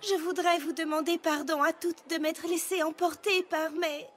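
A woman's voice: very high-pitched, steady tone, moderate pace. A woman voicing a refined, dramatic female pony in a French cartoon dub, with flowery, theatrical delivery. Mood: remorseful. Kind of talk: French-language cartoon dubbing. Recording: music behind the voice.

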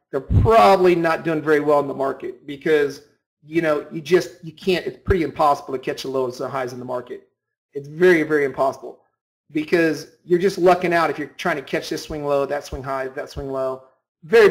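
A man's voice: a little high, brisk, rough recording. A man giving an informal instructional talk, explaining emphatically with repetition and rhetorical questions. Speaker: trading instructor. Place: voice-over on a screen recording.

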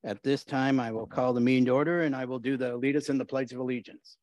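A middle-aged mayor runs a council meeting from a desk mic, his voice of ordinary pitch. He moves through motions and votes briefly, with a friendly word between them. The recording is dry and close. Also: steady tone, quick pace, a little mellow, no echo, poor audio. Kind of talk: meeting procedure. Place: city council chamber. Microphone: desk microphone.

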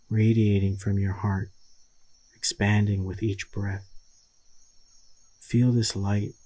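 A man leading a guided meditation in a soothing, deliberate manner with long pauses and a husky voice. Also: lowish voice, darkish tone, poor audio.

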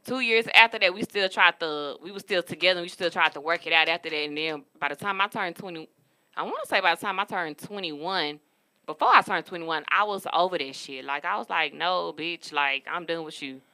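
Bright-voiced young woman telling stories. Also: fairly low pitch, fairly quick. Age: 20s. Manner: candid, profane, storytelling. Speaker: podcast co-host. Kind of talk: casual chat. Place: studio room with a city backdrop.

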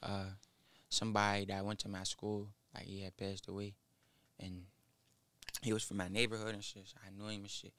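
A nineteen-year-old man, a rapper guest in a small studio, speaking haltingly into a boom mic with many fillers. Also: little pitch movement, a bit bright, smooth, dry recording. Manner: halting, mumbled, full of fillers. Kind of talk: casual interview. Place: small studio with foam wall. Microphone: studio mic on boom arm, headphones.

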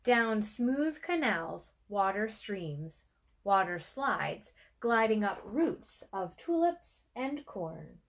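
A woman in her thirties reads a children's picture book aloud. Her pace is slow, with deliberate phrasing and pauses, and her pitch sits in a middle range for a woman. The delivery is expressive, made for young listeners. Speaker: museum educator reading aloud. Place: small furnished room with armchair and bookshelf, indoors.

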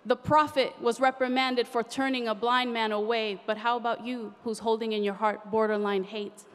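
Young woman, high voice, impassioned rhyming spoken word poet at a podium mic, big echoing convention hall. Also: flat delivery, moderate pace. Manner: rhythmic, impassioned, rhyming delivery. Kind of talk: performed spoken word poetry. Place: large convention hall stage. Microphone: podium microphone.

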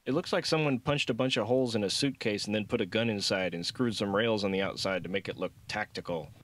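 A man's voice: on the low side, fast, a bit bright, lightly acted. Young male janitor, voiced by a narrator: doubtful, unimpressed, casual delivery for an audiobook. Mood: skeptical. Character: skeptical young male janitor, college dropout.

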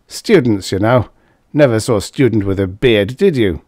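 Guy doing a loud tipsy young drill boss in an audiobook, hiccupy, bossy, voice jumping all over. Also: fairly low pitch, lively intonation, normal speed, no room sound, clean studio sound. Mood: tipsy, boastful. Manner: boastful, gruff barking orders. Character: drunk brash young male drill leader.

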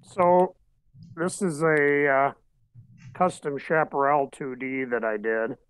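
A middle-aged man talks from an armchair at home into a webcam. His delivery is relaxed and matter-of-fact, like a hobbyist doing show-and-tell. The sound is dry and close, with an ordinary mid-range voice. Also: mid-range voice, takes it slowly, clean tone, dry recording. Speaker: hobbyist showing 3D printed car bodies. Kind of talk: casual video call chat. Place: home living room, seated in armchair. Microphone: webcam on video call.

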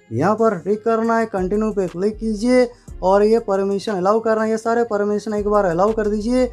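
A man's voice: high voice, darkish tone. A man narrating a step-by-step phone tutorial in an instructional way, repeating stock phrases. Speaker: tech tutorial presenter. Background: faint music.

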